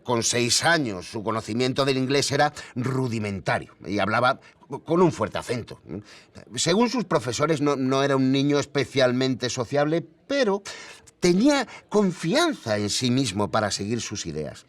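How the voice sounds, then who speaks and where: Male actor as literal-minded Spanish expert, lively intonation, halting and measured, audio documentary, dry close recording. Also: mid-range voice, no echo, lightly acted. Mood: cautious, factual. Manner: hesitant, measured, stammering repeats.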